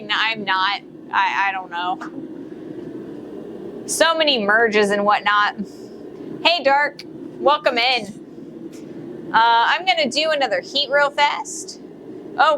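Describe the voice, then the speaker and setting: Slow-talking woman blacksmith chatting on stream. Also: on the high side, noisy background. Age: 30s. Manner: rambling, many fillers and self-corrections. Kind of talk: casual live-stream chat while working. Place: forge workshop.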